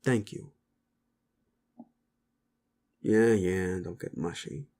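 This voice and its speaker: A man playing a gruff, sleazy werewolf boss with a hidden soft side, talking rough slang in an intimate, halting audio drama style. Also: low voice. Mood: grudgingly sincere.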